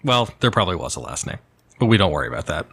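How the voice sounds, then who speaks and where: A man's voice: deep voice, big pitch swings, racing, a bit bright, husky. A man playing a tabletop game, talking casually with a dryly joking, conversational manner.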